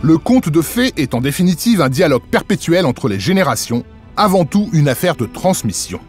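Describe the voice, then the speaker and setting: Lively, higher-pitched man narrating. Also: normal speed. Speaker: magazine show narrator. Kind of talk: scripted narration. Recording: voice-over with faint music. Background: faint background music.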